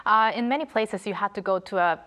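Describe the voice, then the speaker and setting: A woman hosts a broadcast interview at a middling pitch. She asks her guest questions with interest and often pauses on "uh" while setting up each topic. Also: fairly bright tone. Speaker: host.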